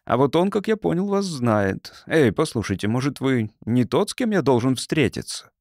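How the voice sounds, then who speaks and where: A man's voice: medium pitch, sing-song, moderate pace, a little mellow, no room sound, clean studio sound. A man playing a weary, suspicious young male student, grumbling and wary, in an audiobook.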